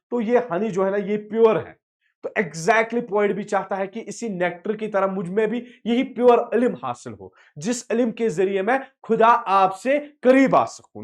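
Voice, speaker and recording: A male teacher explains a poem to students line by line. He reads each English line slowly, explains it at length, and lets his voice fall low and soft at the end of each prayer line.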